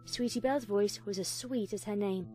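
A woman's voice: mid-range voice, moderate pace, mellow, soft background music. A woman reading a scripted story as a dramatic fan fiction narrator.

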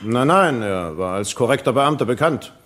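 A male actor performs a former police colonel in a courtroom radio drama. He is a man with a mid-pitched voice who testifies evasively and keeps justifying himself. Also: lively intonation, normal speed, fairly bright tone, lightly acted.

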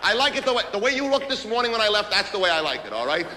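A man's voice: high-pitched, very animated, racing, background noise. An actor playing an abrasive, self-important New businessman, ranting impatiently in a theatrical comic monologue of phone calls. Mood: strained, placating. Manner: impatient, ranting, barking orders and repeating himself. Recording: reverberant hall.

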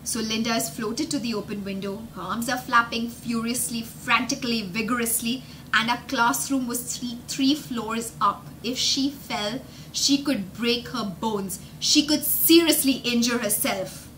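A young woman telling a story as a children's teacher, dramatic and emphatic, pausing to explain words with synonyms. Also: on the high side, fairly bright tone, slight background noise, low-quality recording. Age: young adult. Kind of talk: animated storytelling lesson with explanations. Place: indoor room with glass-fronted bookshelves.

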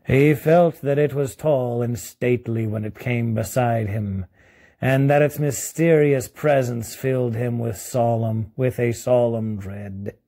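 A man with a Southern US accent reading a storybook aloud in a deliberate, measured manner. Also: mid-range voice, dark tone. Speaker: story reader. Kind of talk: storybook narration, read aloud.

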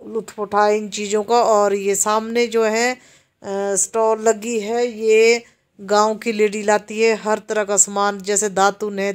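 A woman from Himachal Pradesh narrating a casual walking tour of a busy outdoor market, explaining the shops to viewers as she films while walking. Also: mid-range voice. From Himachal Pradesh, India. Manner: slow, even-toned, explaining to viewers. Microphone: handheld camera while walking.

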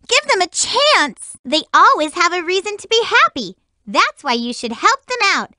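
A woman voicing a cute, energetic young girl hedgehog for a video game, sweet but feisty and lovestruck, with a perky, emphatic girlish delivery. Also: very high-pitched, dry recording, studio quality, exaggerated voice. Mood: pleading.

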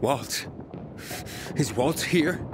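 A man's voice: on the high side, expressive, very slow, noisy background. Anxious young male game hero. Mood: anxious, confused. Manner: stammering, urgent. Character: anxious young male pilgrim seeking his sister. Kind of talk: video game voice acting. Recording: music behind dialogue.

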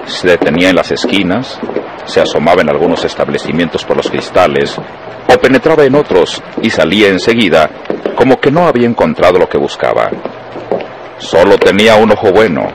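A man's voice: low-pitched, lively intonation, brisk, noisy background. A man with a Mexican accent narrating a scripted radio drama.

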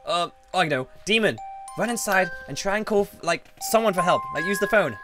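A young man hosting an improvised game roleplay, talking in an excitable, jumpy way and quick to exclaim. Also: sits high, faint music behind. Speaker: roleplay host playing a hotel owner. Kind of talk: improvised game roleplay, voiced over gameplay.

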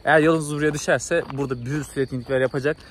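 A man's voice: fairly high pitch, steady tone, rapid-fire. A man, chatting informally to camera as a travel vlogger outdoors. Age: 20s. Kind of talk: casual chat to camera. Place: outdoors on trails and in a village. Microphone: phone or action camera at arm's length. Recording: outdoor ambience and background noise.

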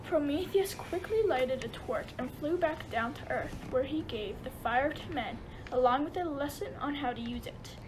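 A woman's voice: high voice, normal speed, hardly any pauses, background noise, lightly acted. A woman narrating a radio play as a scripted storyteller.

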